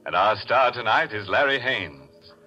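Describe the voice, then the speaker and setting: An actor playing a gleefully morbid horror host, theatrical and sly in 1940s radio style. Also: male voice, fairly low pitch, lively intonation, measured pace, pushed and bright. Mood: ominous, teasing. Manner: theatrical, sly, tongue-in-cheek. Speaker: host and announcer. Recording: dull vintage radio, organ-era music behind.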